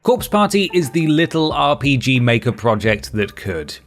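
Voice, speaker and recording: A man's voice: medium pitch, big pitch swings, moderate pace. A man presenting a scripted countdown list in an informative narrating style. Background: background music.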